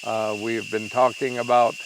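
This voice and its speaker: An older man explains his teaching in a slow, halting way, filling gaps with uh and um. His pitch sits in the middle range. Background noise runs clearly beneath him outdoors. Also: little pitch movement. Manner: slow, halting, many uh pauses. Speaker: permaculture instructor. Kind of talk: interview to camera.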